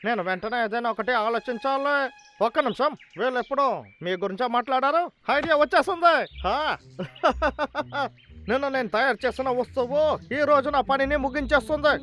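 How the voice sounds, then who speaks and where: A man's voice: very high-pitched, brisk, bright, forceful tone. A man voicing a scheming, boastful male logger villain in a children's cartoon, with a sly, exaggerated manner. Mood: scheming, gleeful. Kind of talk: dubbed children's cartoon acting.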